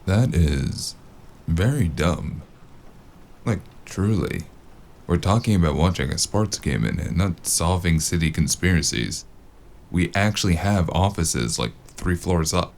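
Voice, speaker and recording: An actor playing a weary, sardonic noir investigator in an audio drama, gravelly and dryly deadpan. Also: male voice, deep voice, a little slow, a little hiss or hum. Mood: deadpan, dismissive. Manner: dry, understated deadpan.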